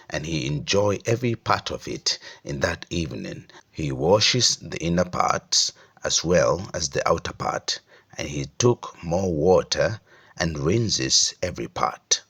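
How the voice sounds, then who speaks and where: A man with a Nigerian accent narrating a folktale as an oral storyteller, in a descriptive, deliberate way. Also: sits low, a bit bright.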